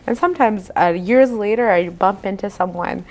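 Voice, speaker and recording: A woman's voice: mid-range voice, moderate pace. Encouraging woman counsellor, ending thoughts with "right?". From Canada. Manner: warm encouraging listener, frequent "right?" tags. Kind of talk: casual conversation.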